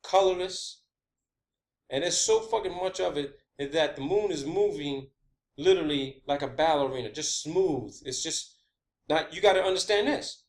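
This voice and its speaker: A man's voice: a little high, normal speed. A man delivering an informal, rambling science-theory monologue, emphatic and sweary, often repeating his phrases. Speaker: online video host.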